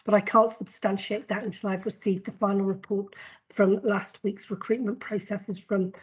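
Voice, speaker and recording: A woman with a cold and a husky voice, presenting a formal report at a board meeting in a measured way, with frequent ums and repeated words. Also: medium pitch, little pitch movement, dull audio. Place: board meeting, video call.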